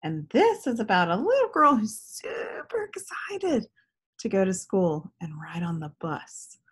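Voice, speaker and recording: Woman, thirties, husky breathy voice, slow teacherly read-aloud, very varied pitch, questions for kids. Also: wildly swooping pitch. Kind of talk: read-aloud for young children with questions and comments. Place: small living room indoors.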